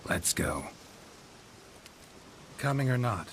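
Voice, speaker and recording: A man's voice: mid-range voice, expressive, slow pace, slight background noise. An actor playing a possessed monster hunter with a haughty nobleman's ghost inside, mocking and archly formal, in a video game cutscene. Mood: wry. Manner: mocking, archly formal, old-fashioned speech. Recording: game mix with loud ambience.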